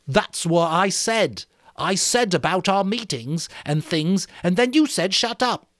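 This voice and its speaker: A man's voice: lively intonation, a little slow, fairly bright tone, dry recording. A man voicing a whiny, indignant, frightened schoolboy in a dramatic novel reading. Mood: indignant whining. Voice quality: whiny, high-pitched. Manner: indignant, hurt, reproachful, ungrammatical.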